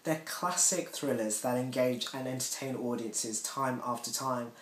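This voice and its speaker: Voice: medium pitch, unhurried. A man giving an articulate, promotional interview as a member of a theatre company. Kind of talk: interview to camera about a production. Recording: echoey room, faint hiss.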